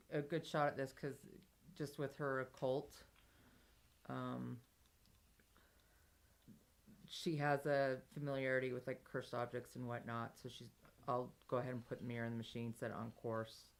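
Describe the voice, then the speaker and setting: A woman playing a tabletop game, talking casually and pausing to think. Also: extremely low pitch. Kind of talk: casual chat.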